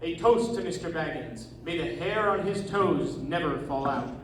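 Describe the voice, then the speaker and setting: A man's voice: fairly high pitch, lively intonation, normal speed, close to an everyday voice. Man playing a proud dwarf leader, echoing room. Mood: commanding. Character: proud commanding male dwarf leader, grave and formal. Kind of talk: readers theatre stage performance. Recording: echoey room from far off, noisy.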